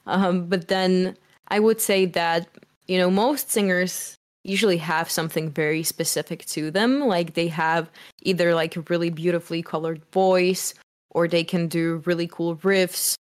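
A young woman musician speaking loudly in a casual interview, rambling with fillers. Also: on the low side, steady tone, a little slow. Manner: loud, strong voice. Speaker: musician guest.

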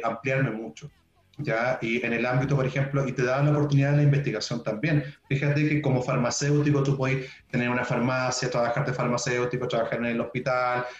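A man from southern Chile with a Chilean Spanish accent, a researcher talking in an interview, relaxed and talkative. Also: medium pitch. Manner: relaxed, talkative, fills pauses with "ya". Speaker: guest researcher. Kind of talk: interview conversation. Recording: roomy, dull sound.

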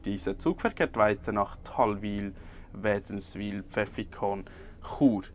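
A man with a Swiss German accent working as a train conductor, talking to colleagues and passengers. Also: measured pace. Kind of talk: casual workplace talk and passenger announcements. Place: aboard a moving passenger train. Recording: clear train noise behind voice. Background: train rumble and vehicle noise.

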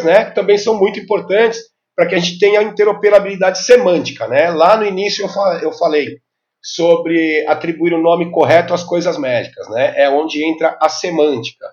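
A Brazilian man in his fifties with a notably high voice speaks as an expert guest. He explains in a teacherly way and punctuates his points with little check-in questions. The audio is somewhat dull and lo-fi. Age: 50s. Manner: didactic, with frequent tag questions seeking agreement. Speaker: interview guest, health informatics specialist. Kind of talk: explanatory interview talk.

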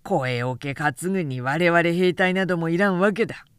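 A woman voicing a blunt, hot-tempered male warrior who grumbles in rough speech, in an audiobook novel. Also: lowish voice, moderate pace, no echo, studio quality, close to an everyday voice. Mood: sarcastic complaint. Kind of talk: literary audiobook dialogue.

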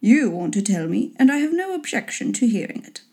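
A woman voicing a dry, teasing middle-aged husband and father in a storybook reading, with a deadpan, sardonic manner. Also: a little high, brisk. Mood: dry, indifferent. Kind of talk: storybook character voice in an audiobook reading.

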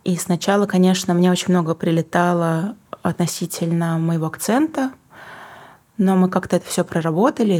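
Low, husky-voiced young woman, reflective. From Kazakhstan. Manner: thoughtful, searching for words, soft asides. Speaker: guest, singer and book publisher. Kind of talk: reflective interview answers.

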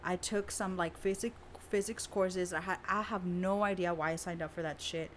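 A woman's voice: on the low side, steady tone, moderate pace, slight background noise. A woman in her twenties from San Diego, a native Spanish speaker, talking frankly and casually as a guest. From San Diego, California. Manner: candid, frank, swears casually. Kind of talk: casual conversation.

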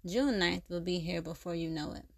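Storybook: prim disapproving old piano teacher, read by a low, creaky-voiced woman. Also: normal speed, no room sound, studio quality. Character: stern older female piano teacher.

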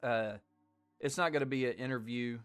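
A man with a mix of Southern US and Irish accent, a laid-back podcast host with a voice deepened by a cold, talking bluntly. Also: moderate pace, a bit bright, clear, resonant tone, no echo, faint music behind. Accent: Southern US mixed with Irish. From Arkansas, USA. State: voice deeper than usual from a cold. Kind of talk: casual podcast chat.

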